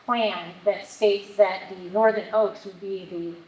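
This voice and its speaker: A woman's voice: mid-range voice, steady tone, a little slow, a little mellow. Woman pressing pointed questions. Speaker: resident questioning at public meeting. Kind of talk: public meeting questions. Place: public meeting hall. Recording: echoey meeting hall, faint noise.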